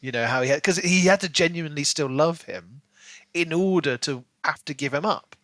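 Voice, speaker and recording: A man's voice: fairly high pitch, normal speed, a bit bright, no room sound. A man co-hosting a podcast, talking casually through a book and acting out imagined bits of dialogue as he explains. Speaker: podcast co-host.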